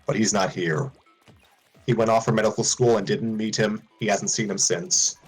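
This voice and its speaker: A man's voice: on the low side, steady tone, normal speed, husky, soft background music, rough recording. A man reading a fan story aloud, often stumbling and restarting lines.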